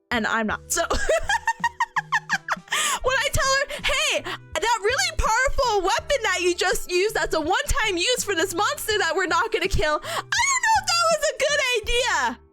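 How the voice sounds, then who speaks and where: A woman's voice: extremely high pitch, pushed and bright. A young woman streamer chatting casually with her viewers, bubbly, giggly and playful, reading comments as she goes. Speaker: study streamer.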